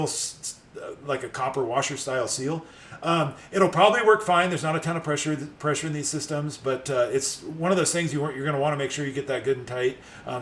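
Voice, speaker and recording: A man's voice: fairly high pitch, fairly bright tone. A man giving a casual how-to while he works, thinking aloud with some hesitation. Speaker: car mechanic hobbyist, channel host. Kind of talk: casual hands-on how-to narration while working. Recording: noisy room, voice drifting off mic.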